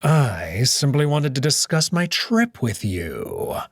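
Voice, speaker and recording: A man doing a smug, drawn-out wolf noble. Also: medium pitch, huge pitch swings, vocal fry, no room sound, clean studio sound, exaggerated voice. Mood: mockingly polite. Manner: slow, drawn-out, mockingly polite. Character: blind male wolf noble, smug and menacing.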